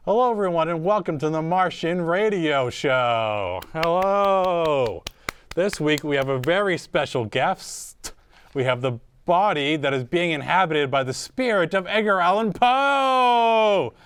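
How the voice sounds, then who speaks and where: A man hosting an improvised comedy interview in a casual, joking, halting way. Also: a little high, animated, a bit bright, smooth. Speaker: comedy show host.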